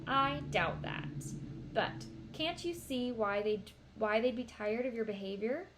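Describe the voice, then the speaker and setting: A woman voicing a weary, concerned working mother in a storybook, gently scolding with earnest pleading. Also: a little high, expressive, unhurried, background noise.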